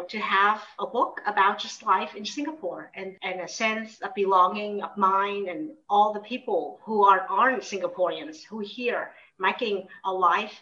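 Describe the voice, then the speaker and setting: A woman's voice: medium pitch, a bit bright. A middle-aged woman from Vietnam and the United States, answering interview questions in a thoughtful, reflective, conversational way. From born in Vietnam until twelve, then United States. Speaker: interviewed memoir author. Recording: distant mic, dull muffled sound.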